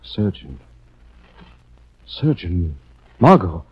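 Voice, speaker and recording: Radio leading man: gallant, urgent, low lively voice, terse questions, muffled old recording. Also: drawn-out words, husky, heavily acted. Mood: urgent concern. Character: gallant, urgent gentleman hero, trapped and concerned. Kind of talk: 1940s radio acting.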